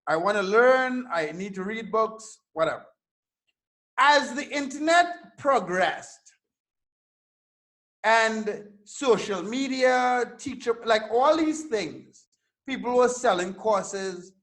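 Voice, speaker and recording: High-pitched man from Trinidad and Tobago. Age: 30s. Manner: animated, swears casually, repeats words. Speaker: guest entrepreneur. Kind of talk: casual interview storytelling.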